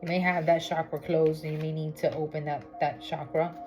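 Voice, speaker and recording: A low-pitched woman from Miami talks through a tarot reading in a loose, chatty way, often stopping to check in with her viewers. Music plays clearly behind her. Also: level tone, a little fast, darkish tone. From Miami, United States. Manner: chatty, with many fillers and "okay?" check-ins. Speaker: tarot reader. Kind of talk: casual spiritual reading vlog. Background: background music.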